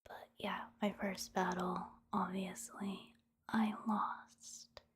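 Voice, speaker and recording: A woman doing soft-spoken ASMR game commentary in a hushed, breathy whisper, gentle and unhurried. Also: mid-range voice, flat delivery, background noise.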